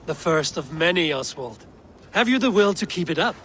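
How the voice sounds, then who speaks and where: Steadfast Viking warrior, terse orders, slightly raised pitch, video game drama, background game sound. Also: male voice, animated, moderate pace, a bit bright, slight background noise. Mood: commanding. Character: gruff, steadfast male Viking raider leader, adult warrior.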